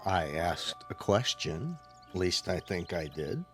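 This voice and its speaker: An actor playing a resentful, defensive husband in a radio drama, sullen and petulant. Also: male voice, deep voice, sing-song, slow pace, a bit bright, heavily acted. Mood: sullen, demanding. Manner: sullen, self-justifying. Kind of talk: old-time radio drama acting. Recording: radio drama with music underneath.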